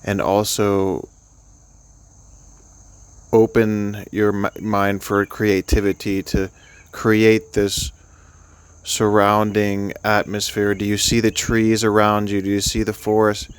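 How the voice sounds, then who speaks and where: A man leading a guided sleep meditation, speaking gently and soothingly in an unscripted, halting way with long pauses. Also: lowish voice, level tone, clean tone.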